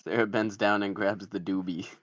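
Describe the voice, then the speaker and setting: Low-voiced man narrating a script. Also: steady tone, normal speed, a bit bright, no echo, studio quality. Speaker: narrator reading stage directions. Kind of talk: scripted narration at a film table read.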